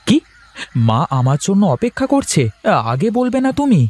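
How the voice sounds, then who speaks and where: A man's voice: high-pitched, very animated, normal speed, a little mellow. A man voicing an affectionate young cartoon husband and dutiful son, playful and earnest in his delivery. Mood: teasing. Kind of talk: cartoon voice acting.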